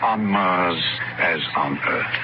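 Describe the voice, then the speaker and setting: An actor playing a gentle, eccentric old wandering preacher in a 1950s radio drama, solemn, pious and deliberate. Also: male voice, low-pitched. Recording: noisy, muffled old radio recording.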